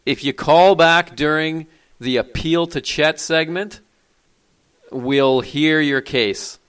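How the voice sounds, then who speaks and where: Brisk, dismissive call-in show host. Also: male voice, a little high, fairly even intonation, fairly bright tone, clean tone. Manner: brisk, dry, dismissive of prank callers. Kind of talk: live call-in show hosting.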